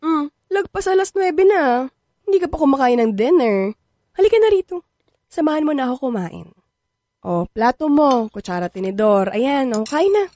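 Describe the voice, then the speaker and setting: A woman's voice: high voice, big pitch swings, mellow, no echo, clean studio sound. An actress playing a playful, persistent young woman in a radio drama, coaxing and teasing, with a Filipino accent. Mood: playful, caring. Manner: coaxing, teasing, drawn-out words. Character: playful, persistent, sweet-talking young woman wanting a baby.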